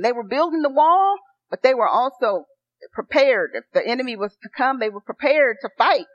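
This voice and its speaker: A soft-spoken woman pastor preaching a sermon, earnest and rising to excited encouragement. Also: on the high side, dry recording. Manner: earnest, building to excited exhortation. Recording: dry, dull lo-fi sound.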